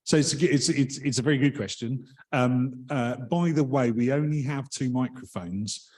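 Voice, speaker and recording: A man chairing a members' meeting in a hall, polite and hesitant with many pauses and ums. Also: mid-range voice, a little mellow.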